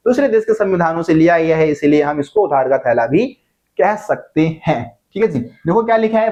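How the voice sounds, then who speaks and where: High-voiced man teaching a class. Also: darkish tone, muffled recording. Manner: conversational, keeps checking in with "ठीक है जी"; repeats key points, asks and answers own questions. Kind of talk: exam-prep lesson.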